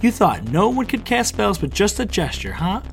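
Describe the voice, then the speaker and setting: A man's voice: medium pitch, wildly swooping pitch, a little fast, a little mellow, soft background music. A man voicing a wicked woman spellcaster in an audiobook, with a taunting, menacing, emphatic delivery. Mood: vicious taunting.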